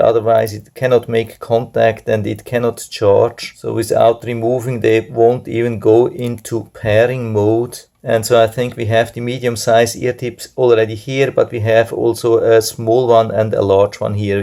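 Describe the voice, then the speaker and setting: A man with a low, mellow voice narrates a product review in casual. His pitch stays quite flat and there is a slight creak in the tone.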